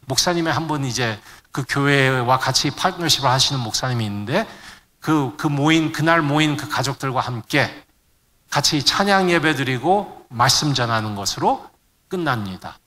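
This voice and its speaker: A man's voice: on the high side, expressive, normal speed, bright, forceful tone. A middle-aged man giving a sermon to a congregation, searching for words now and then and mixing in English terms. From Korean immigrant family in the United States. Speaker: preacher. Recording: long hall reverb.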